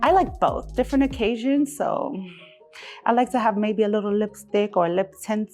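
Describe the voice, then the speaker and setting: A woman from Jamaica, giving casual, animated and emphatic interview answers as a music artist. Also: medium pitch, moderate pace. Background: background music.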